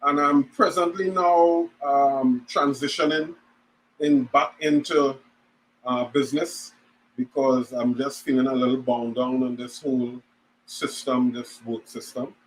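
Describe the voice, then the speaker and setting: A man in his forties, a guest on an online talk show, speaking hesitantly with many pauses and restarts. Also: mid-range voice, steady tone, slight background noise, poor audio. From Trinidad and Tobago. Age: 40s. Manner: hesitant, lots of ums and restarts. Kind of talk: casual chat on a video call.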